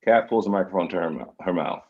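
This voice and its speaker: Male stage-direction narrator, low-pitched voice, plain screenplay reading, slightly dull lo-fi recording. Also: fast talker, husky. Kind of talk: scripted screenplay narration at table read.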